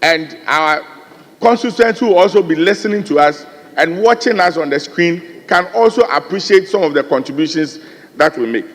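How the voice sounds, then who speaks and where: A man's voice: a little high, lively intonation, moderate pace, in a large hall. Earnest Ghanaian man addressing parliament. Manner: earnest, rising emphasis, repeated addresses to the chair. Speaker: member of parliament. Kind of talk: parliamentary floor speech. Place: large parliamentary chamber.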